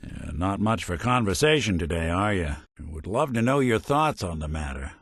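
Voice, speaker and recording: A man's voice: low-pitched, big pitch swings, normal speed, fairly bright tone, slightly rough, dry recording, full character voice. A man voicing a weary synth detective in a video game, with an American accent and a dry, sardonic noir delivery. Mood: wry, casual. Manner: wry, dry sarcasm, noir detective drawl. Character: weary synth detective, world-worn male android gumshoe. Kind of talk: video game character dialogue. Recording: very dry close studio recording.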